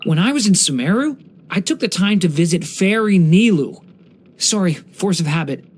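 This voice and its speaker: A young dragon boy in human form from a video game. He is gentle, earnest and polite, with a storyteller's manner. His pitch moves about in a lively, expressive way. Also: normal speed, husky. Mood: bright, polite. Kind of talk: English video game character voice lines.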